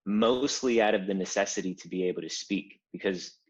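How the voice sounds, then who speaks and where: Low-voiced Cuban-born man telling his story. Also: fairly quick, husky, dull audio. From Cuba. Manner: animated storyteller, builds to emphatic points. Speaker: guest, design founder. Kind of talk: conversational interview.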